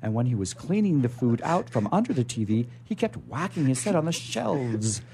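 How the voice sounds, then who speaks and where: A man hosting a radio show, chatting and reading aloud in a rambling, halting way, often repeating words. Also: medium pitch, very animated, dark tone, a little hiss or hum. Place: radio studio. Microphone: studio microphone.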